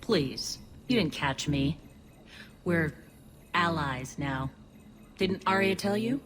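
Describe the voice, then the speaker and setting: A woman's voice: sits low, lively intonation, takes it slowly, slight background noise. An actress playing a principled, wary female militia leader in a video game, firm, dry and challenging.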